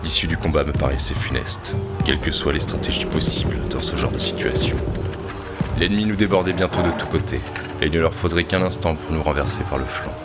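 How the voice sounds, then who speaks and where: An actor playing a grave, noble general, narrating a fantasy war drama in solemn literary language. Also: male voice, a bass voice, brisk. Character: grave, noble army general recounting a desperate battle. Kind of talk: dramatic scripted first-person narration. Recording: voice under loud music.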